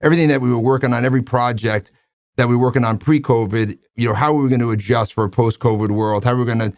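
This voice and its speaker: A man hosting an interview, asking questions in a halting way with repeated words and many fillers. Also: medium pitch, steady tone, no room sound. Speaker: interview host. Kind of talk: conversational interview.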